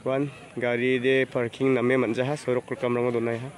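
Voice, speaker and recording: Man, casual phone vlogger outdoors, medium pitch, easygoing chatter. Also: completely flat delivery, moderate pace, a little hiss or hum. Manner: chatty, greets people as he walks. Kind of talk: casual walking vlog. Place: outdoors at a rural fishing pond fair. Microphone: handheld phone camera.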